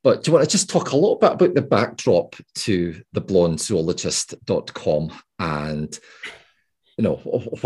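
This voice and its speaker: A man's voice: low-pitched, a little mellow, husky. A man from the British Isles hosting an interview, talking hesitantly with repeated words and many "yeah"s and "you know"s. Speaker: podcast host. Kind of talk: casual interview chat.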